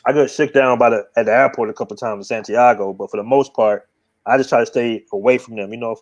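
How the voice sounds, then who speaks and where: A man hosts a relaxed interview chat. He talks easily, dropping "man" and "brother" often, in an even, middle-pitched voice. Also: fast talker, muffled recording. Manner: easygoing, says man and brother a lot. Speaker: show host.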